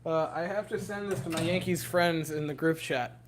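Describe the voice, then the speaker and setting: A young man with a hoarse, worn-out voice, rambling giddily to his livestream chat from home. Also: a little high, moderate pace, slight background noise. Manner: giddy, rambling, talking to chat. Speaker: streamer.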